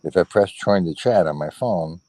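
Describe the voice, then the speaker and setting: A man with a notably low voice speaks into a phone as a guest on a livestream chat. He is earnest and keeps circling back to the same points, stopping and restarting his sentences. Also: moderate pace, darkish tone, no room sound. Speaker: guest, fellow show host.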